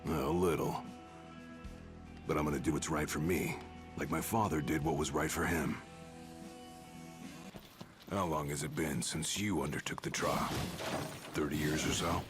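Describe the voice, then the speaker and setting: Brief: a cocky young guard, deep and blunt. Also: male voice, steady tone, unhurried, pauses often. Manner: blunt, cocky, casual speech. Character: brash young male royal bodyguard. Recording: game audio with music.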